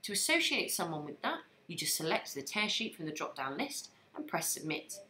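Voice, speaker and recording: A woman narrating a scripted software training tutorial in an instructional manner, explaining things step by step. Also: fairly low pitch, big pitch swings, fairly quick.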